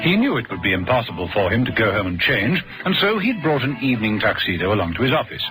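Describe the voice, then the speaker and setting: A man's voice: fairly low pitch, sing-song, quick pace, low-quality recording. A man narrating a radio thriller in a dramatic scripted style. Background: music.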